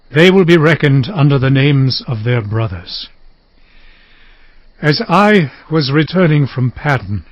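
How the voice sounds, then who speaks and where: A man playing a dying aged patriarch in a dramatized audio Bible, solemn and tender, speaking in halting phrases. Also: medium pitch, no echo, dull audio, lightly acted. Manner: slow, halting, solemn delivery.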